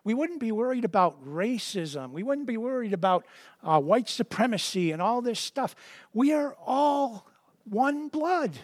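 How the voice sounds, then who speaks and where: A man with a high voice gives a sermon. He reads scripture slowly and deliberately and then talks it through casually, often repeating a word or two as he gets going. The recording is dry and close. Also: sing-song, dry recording. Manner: conversational, repeats words when starting sentences. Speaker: preacher.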